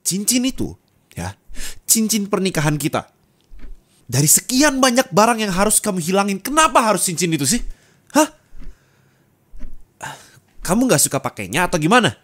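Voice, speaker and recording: A man's voice: high-pitched, very animated, normal speed, pauses often, heavily acted. A young adult man playing a husband talking intimately and colloquially to his wife in an audio roleplay, close on the microphone. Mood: angry, scolding. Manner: intimate, colloquial, turning from soft to scolding. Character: young husband, affectionate then angrily scolding his wife. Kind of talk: Indonesian ASMR roleplay acting, addressed to the listener. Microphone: close studio microphone.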